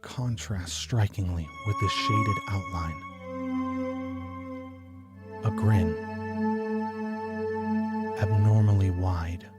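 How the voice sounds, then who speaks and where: An actor playing a brooding, obsessive homicide detective, narrating a noir audio drama in a clinical, deliberate manner. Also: male voice, on the low side. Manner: clinical, deliberate, measured delivery. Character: brooding, obsessive male homicide detective recounting grim cases.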